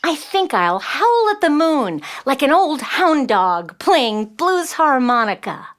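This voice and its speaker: Woman playing a dramatic young girl, high and expressive. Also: slow, slight background noise, heavily acted. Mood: playful. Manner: dramatic, self-doubting. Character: frustrated, dreamy nine-year-old city girl. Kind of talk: children's audio play acting.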